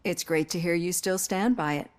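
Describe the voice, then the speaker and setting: A woman's voice: on the low side, normal speed, lightly acted. A woman voicing an earnest psychologist in her fifties in a video game, speaking in a careful, supportive manner. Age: 50s.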